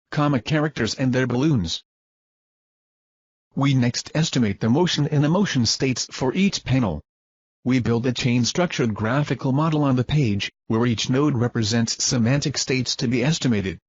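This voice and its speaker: Man reading formal narration, husky and muffled. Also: mid-range voice, no echo. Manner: formal, measured, reading from a script. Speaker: research presenter. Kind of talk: scripted narration of a research demo.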